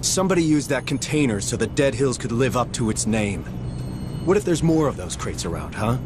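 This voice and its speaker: Gruff American space Marine sergeant, male actor, stubborn and folksy, game dialogue over loud engine ambience. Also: mid-range voice, sing-song, fairly quick, noisy background, close to an everyday voice. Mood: argumentative. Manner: blunt, commanding, folksy military talk. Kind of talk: video game voice acting.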